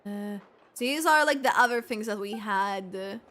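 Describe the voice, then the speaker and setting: A woman's voice: medium pitch, a little slow, fairly bright tone. A woman streaming a video game, giving casual reaction commentary in a rambling way, often trailing off mid-thought.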